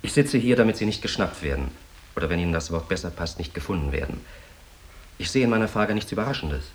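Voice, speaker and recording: A very low male voice with faint hiss around it. a principled, immovable priest in a radio drama, choosing his words in a measured and formal way. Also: expressive. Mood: defiant. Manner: terse, measured, formal answers. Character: stubborn, principled priest under police questioning. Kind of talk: German radio play dialogue.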